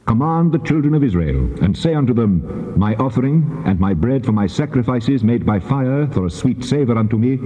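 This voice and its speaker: An actor playing a solemn, commanding God in a dramatized scripture recording, speaking in a measured, authoritative declamation. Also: male voice, medium pitch, mellow, lightly acted. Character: the Lord God, solemn commanding male deity. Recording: dull tape, long cavernous reverb.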